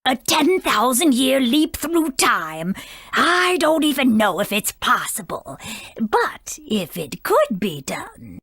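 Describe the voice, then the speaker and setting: An actress playing a proud, aged female sage in a video game, husky, formal and haughty, stern yet kindly. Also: high voice, huge pitch swings, takes it slowly, a bit bright, no echo, clean studio sound, heavily acted. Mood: grave, explaining.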